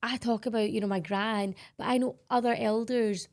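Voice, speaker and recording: A woman's voice: fairly high pitch, fairly even intonation, normal speed, a little mellow. A Scottish woman hosting a podcast, talking candidly and emphatically in casual interview chat. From Scotland. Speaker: podcast host.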